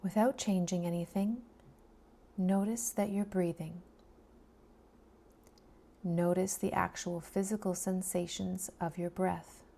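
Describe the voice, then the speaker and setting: A woman leads a guided body scan at a very slow, unhurried pace. Her voice is mellow and fairly low, with creaky edges. She speaks softly and breathily, often sinking toward a whisper. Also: steady tone. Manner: soft, soothing, unhurried delivery with long pauses. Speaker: meditation guide.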